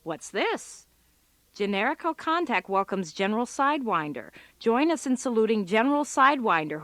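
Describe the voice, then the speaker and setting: A woman's voice: medium pitch, fairly bright tone, no echo. An actress playing a sardonic, exasperated female office computer worker in a comic radio drama, given to wry muttered asides.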